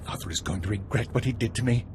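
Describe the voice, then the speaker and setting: A man's voice: on the low side, fast talker, noisy background, natural voice. An actor playing a nervous young man resentful of his father, eager to be let go, in a game cutscene. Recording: clear background ambience.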